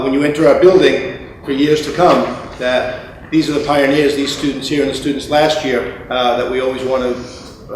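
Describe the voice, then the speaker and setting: A man with a slightly raspy voice from a cold, giving an earnest, formal welcome speech at a school ceremony. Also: on the high side. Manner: earnest, formal, reads prepared remarks. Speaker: school principal. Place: school auditorium. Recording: very echoey hall with faint noise.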